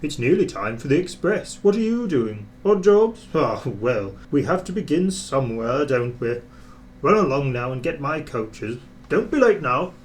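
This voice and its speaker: A man's voice: medium pitch, big pitch swings, measured pace, a little hiss or hum. A man voicing a proud, boastful big steam engine in a children's storybook, pompous and condescending.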